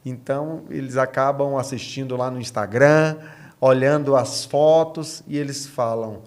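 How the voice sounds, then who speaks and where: A man's voice: medium pitch, fairly even intonation, a little slow, darkish tone. Brazilian man in his thirties, earnestly explaining. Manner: earnest, explanatory, repeats phrases for emphasis. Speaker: pastor, podcast guest. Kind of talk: podcast interview answers.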